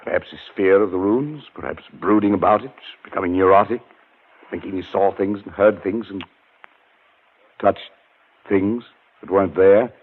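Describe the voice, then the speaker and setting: An actor playing a haunted scholar who narrates his own tale in a grave, measured 1940s radio drama style. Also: deep voice. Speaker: narrator and lead character. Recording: tinny old radio broadcast.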